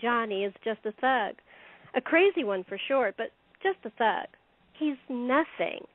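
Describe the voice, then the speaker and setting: A woman's voice: on the high side, animated, measured pace, no room sound. A woman playing a sinister, coolly confident secretary turned armed robber in an audio play, mocking and self-assured. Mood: smug, scornful. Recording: tinny narrow phone-like sound.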